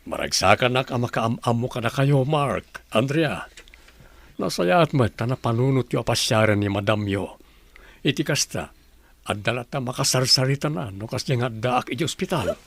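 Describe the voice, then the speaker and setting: Husky middle-aged devoted husband. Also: male voice, medium pitch, lively intonation, normal speed. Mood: welcoming. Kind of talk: Ilocano radio drama acting.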